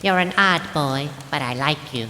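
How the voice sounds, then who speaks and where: A woman's voice: deep voice, normal speed, sharp tone. Woman as a prim fantasy heroine in long reverb. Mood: curious. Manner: formal, old-fashioned phrasing. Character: prim, earnest female companion from a fantasy realm. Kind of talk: scripted fantasy audio drama acting. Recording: long reverb, faint rain noise.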